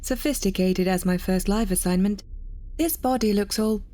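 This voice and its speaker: A woman's voice: mid-range voice, moderate pace, mellow, close to an everyday voice. A woman playing a fussy, assertive artificial intelligence in a sci-fi audio drama, self-assured and faintly disdainful. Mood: unimpressed.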